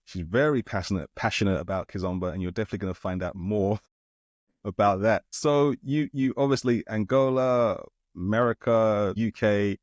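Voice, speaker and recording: A man's voice: on the low side, normal speed, darkish tone, no room sound, lo-fi sound. Male podcast host, friendly casual questions, dry close mic. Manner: friendly, leans on "you know" fillers.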